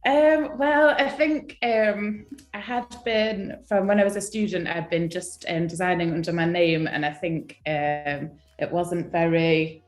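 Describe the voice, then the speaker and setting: A young woman from England, a guest designer chatting casually on a live stream, hesitant with many ums and soft laughs. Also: fairly low pitch, steady tone, measured pace, few pauses, reverberant, soft background music.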